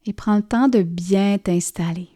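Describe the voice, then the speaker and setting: A woman guides a yoga nidra relaxation, speaking softly and soothingly with gentle pauses. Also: medium pitch. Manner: soft, soothing, gently pausing. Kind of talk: guided relaxation meditation narration.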